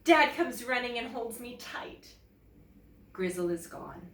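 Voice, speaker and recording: Children's story reader: woman from Canada, highish voice, unhurried and dramatic, in a roomy space with faint noise. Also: expressive, fairly bright tone, recorded from a distance. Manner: dramatic, deliberate, asks listeners questions. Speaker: teacher reading a children's story. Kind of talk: expressive storybook narration.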